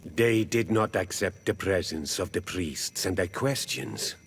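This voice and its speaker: A man voicing a weary, stoic native village chief in a video game, with a husky voice and a grave, halting delivery. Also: sits low, slight background noise. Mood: resigned, grave. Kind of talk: video game dialogue acting.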